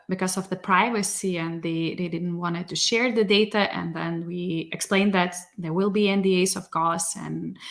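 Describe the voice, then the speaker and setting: A woman's voice: lowish voice, gravelly. A woman whose first language is Lithuanian, answering interview questions as a guest in a thoughtful, word-searching way over a video call. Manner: thoughtful, searching for words, laughs lightly. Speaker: podcast guest, company executive.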